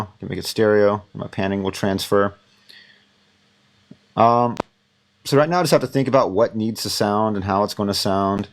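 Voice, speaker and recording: A man teaches sound mixing while he works, explaining each step as he goes. His voice is fairly low. He rambles a little and fills pauses with ums. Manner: relaxed, rambling, many fillers. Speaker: audio instructor. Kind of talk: thinking-aloud mixing demonstration.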